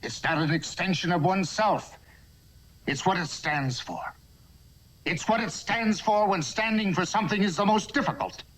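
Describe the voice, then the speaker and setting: A man playing a grave, principled judge in a dramatic film speech, measured and oratorical. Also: sits high, a bit bright, slight background noise, rough recording. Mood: impassioned, grave. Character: grave, principled judge delivering a solemn verdict.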